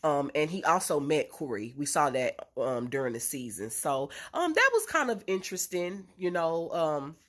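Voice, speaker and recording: A woman's voice: sits low, sing-song, moderate pace, hardly any pauses, fairly bright tone. A young woman with a congested, nasal voice from a cold, recapping a reality show in a chatty way with lots of ums and blunt slang. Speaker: reality TV reviewer. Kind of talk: casual recap and opinion vlog.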